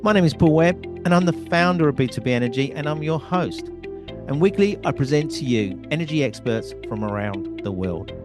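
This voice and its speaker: A man's voice: fairly high pitch, lively intonation, fairly quick. Male podcast host with music behind. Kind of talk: podcast interview hosting.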